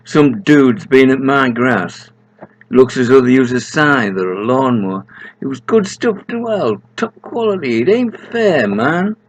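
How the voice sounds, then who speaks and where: A man's voice: mid-range voice, very animated, unhurried, a little hiss or hum, voice pushed up. An older man voicing a laid-back, aggrieved grass grower, complaining in slang for a read-aloud story.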